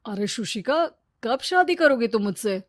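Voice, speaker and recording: A woman's voice: a little high, expressive, normal speed, no room sound, clean studio sound, lightly acted. A woman voicing an arrogant, fickle husband in a cartoon story, brash and selfish. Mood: pleading. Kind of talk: Hindi cartoon story dialogue.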